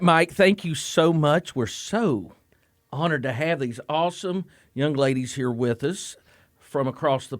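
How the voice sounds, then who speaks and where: A man who is a high school principal, chatting in a casual and encouraging way as he interviews students on a radio show in a studio.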